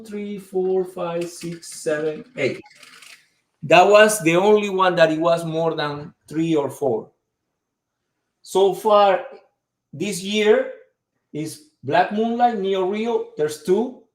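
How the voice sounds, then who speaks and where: A man speaks as a guest in a casual chat, his voice pitched a little high. He hunts for words and leans on fillers. The room around him is noticeably echoey. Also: slow pace. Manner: strongly accented, searching for words, many fillers. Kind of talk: casual conversation.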